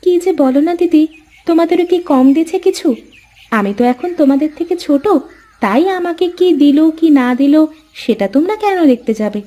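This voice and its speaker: A woman as a high-voiced young village wife. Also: lively intonation, a little fast, dark tone. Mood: earnest. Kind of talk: Bengali cartoon fairy-tale voice acting.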